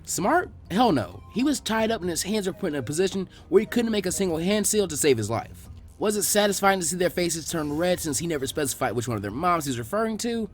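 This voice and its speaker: A man reading fan fiction aloud as an expressive scripted narration. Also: high-pitched, fast talker, faint music behind.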